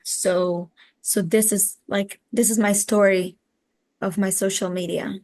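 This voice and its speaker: Young Israeli-accented woman, halting English. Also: mid-range voice, fairly even intonation, darkish tone. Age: 20s. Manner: halting, searching for words in a second language. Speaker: interview guest, educator and social media activist. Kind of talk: conversational interview answers.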